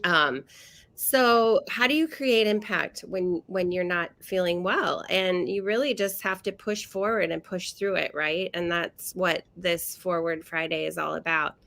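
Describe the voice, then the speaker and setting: A woman co-hosting a casual chat over a video call, nasal from a cold, talking haltingly with many fillers and restarts. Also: fairly low pitch, vocal fry, a bit bright. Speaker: co-host.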